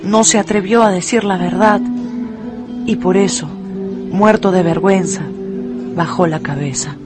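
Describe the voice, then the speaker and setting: A woman narrating a dramatised radio story in an expressive, deliberate, emphatic way. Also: fairly low pitch, loud music behind the voice.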